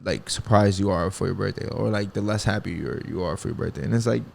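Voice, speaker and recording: Male host, 20s, lowish gravelly voice, rambling casual podcast monologue. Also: fast talker. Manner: rambling, reflective, swears freely, many fillers. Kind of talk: casual solo podcast talk.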